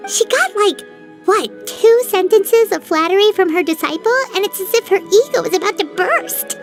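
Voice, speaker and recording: A woman's voice: very high voice, animated, moderate pace. A woman voicing a tiny, chatty, cheeky female fairy companion who talks about herself by name. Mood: teasing. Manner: blunt, gossipy, speaks of herself by name. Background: game music.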